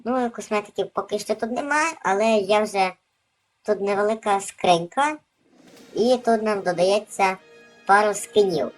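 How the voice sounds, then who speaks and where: A woman's voice: medium pitch, unhurried, faint music behind, low-quality recording. Casting: female gaming host, mid-range voice, informal running commentary over gameplay.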